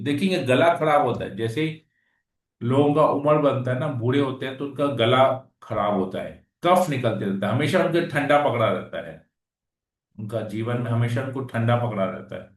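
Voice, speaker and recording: A man's voice: medium pitch, moderate pace. A man reading scripture verses aloud and then explaining them in plain conversational talk. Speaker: scripture class speaker. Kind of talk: Hindi scripture reading with commentary. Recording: echoey room, far from the mic.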